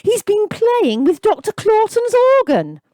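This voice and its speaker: A woman's voice: extremely high pitch, huge pitch swings, unhurried, warm tone, dry recording, voice pushed up, heavily acted. A woman voicing a leering, mocking young female kitchen worker.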